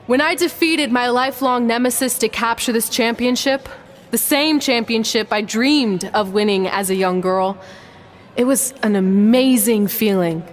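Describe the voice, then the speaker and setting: An actress playing a seasoned champion wrestler in a video game, speaking in a measured, sincere, wistful way. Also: female voice, on the high side, a little hiss or hum, close to an everyday voice. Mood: reflective, resolved. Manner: measured, reflective, deliberate. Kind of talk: video game cutscene voice acting.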